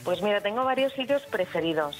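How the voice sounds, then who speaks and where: A woman's voice: mid-range voice, lively intonation, fast talker, faint music behind, poor audio. A woman with an accent from Spain chatting casually as a radio caller, her voice freshly out of bed. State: just woken, unwarmed voice. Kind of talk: casual chat.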